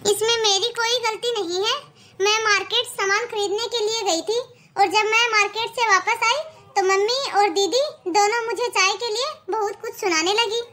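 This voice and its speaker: A defiant young wife in a cartoon moral story, voiced by a woman. The voice is extremely high and sharp. She protests with wounded pride and heavy emphasis. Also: steady tone, normal speed. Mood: protesting.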